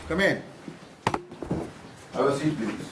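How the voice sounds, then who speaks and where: An actor playing a slippery, jovial hospital doctor with an Indian accent and a breezy, joking manner, in a naturalistic radio drama. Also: male voice, fairly high pitch, animated, takes it slowly. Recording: noisy background ambience.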